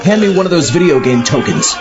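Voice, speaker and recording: A man's voice: fairly high pitch, sing-song, fast, heavy background noise. A man hosting a scripted comic horror podcast in a playful, theatrical manner. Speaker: podcast host. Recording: muffled, loud music behind.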